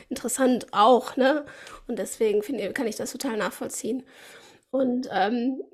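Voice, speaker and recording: A woman hosting a podcast interview, affirming her guest and laughing often. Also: on the high side. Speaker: podcast host. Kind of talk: casual interview chat.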